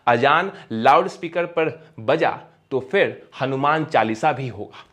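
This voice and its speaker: A man's voice: mid-range voice, slow, fairly bright tone. A man delivering scripted opinion narration as a commentator, in an emphatic, rhetorical manner.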